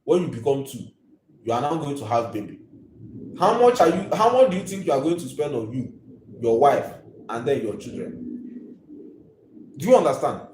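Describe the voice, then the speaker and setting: A man's voice: medium pitch, sing-song, moderate pace, long pauses, a little mellow, reverberant, slight background noise, rough recording. A Nigerian man in his twenties hosting a live video, ranting emphatically and preachily and repeating his points. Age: 20s.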